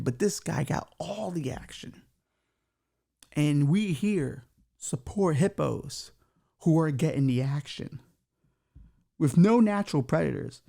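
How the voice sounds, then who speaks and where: Gravelly man riffing crudely on news. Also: on the high side, warm tone. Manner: crude, mocking, swears freely; reads news aloud with stumbles and asides. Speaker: podcast host. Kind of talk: comic riffing on news stories.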